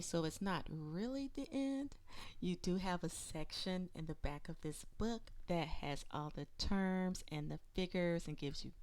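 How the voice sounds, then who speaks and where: Woman reading aloud at a slow pace. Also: lowish voice, a little mellow, clear, resonant tone. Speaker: educator reading a children's book aloud. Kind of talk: expressive read-aloud of rhyming children's book.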